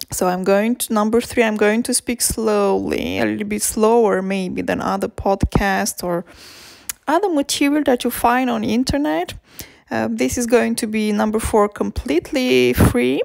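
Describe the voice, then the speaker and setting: A woman from Romania whose first language is Romanian, hosting a language podcast and talking slowly and hesitantly, with many ums and restarts. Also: medium pitch, creaky, no room sound. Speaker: podcast host and language teacher. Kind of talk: slow, informal podcast talk.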